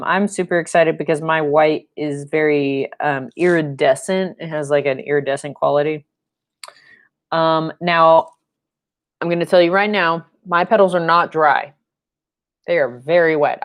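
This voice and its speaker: Young woman, low voice, slow and rambling, painting instructor talking through a home live stream. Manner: rambling and chatty, with fillers and playful sound effects. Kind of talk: live-stream painting lesson with chatty asides. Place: home studio room, indoors.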